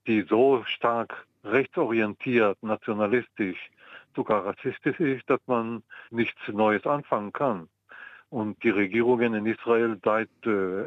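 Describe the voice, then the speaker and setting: A man's voice: mid-range voice, dry recording. Older man on a tinny phone line. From Mandatory Palestine. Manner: measured, pausing with frequent ums. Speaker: guest historian. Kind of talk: interview answers.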